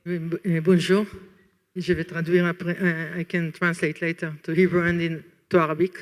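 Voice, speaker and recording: A woman from France and Israel asking a long, earnest question from the audience at a public talk, often pausing to search for words. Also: on the low side, little pitch movement, big-room sound.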